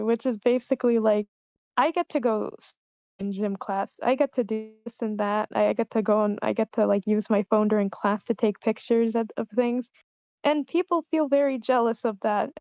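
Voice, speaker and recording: A woman's voice: on the high side, fairly even intonation, a little mellow. Woman giving casual feedback, stop and start, kinda muffled lo-fi call sound, no room echo. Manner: halting, searching for words. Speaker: participant giving script feedback. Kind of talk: informal group discussion.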